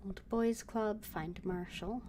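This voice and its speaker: Slow, quietly musing woman streamer. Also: mid-range voice, fairly even intonation. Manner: quiet, musing, half-whispered remarks to herself. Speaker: streamer playing a video game. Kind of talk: live gameplay commentary. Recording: streamed game audio with clear noise.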